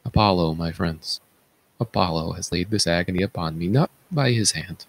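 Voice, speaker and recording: A man's voice: sits low, normal speed, gravelly. A man playing an anguished, self-loathing blinded king in a theatrical, declamatory reading of Greek tragedy. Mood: agonized. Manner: declamatory, tormented, dramatic.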